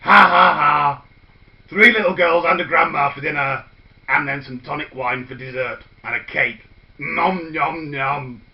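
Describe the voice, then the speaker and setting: A man's voice: a little high, moderate pace, lo-fi sound, pitched below the performer's own voice, natural voice. A man voicing a sly male big bad wolf who blusters through denials in a storybook tale. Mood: gloating.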